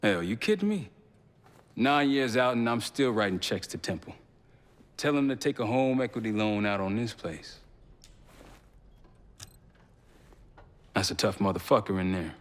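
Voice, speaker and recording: An actor with an American accent playing a streetwise, level-headed intelligence operative in a video game, talking casually. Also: male voice, on the low side, lively intonation, moderate pace, in a large hall, natural voice. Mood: candid. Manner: casual, colloquial. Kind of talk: naturalistic video game dialogue.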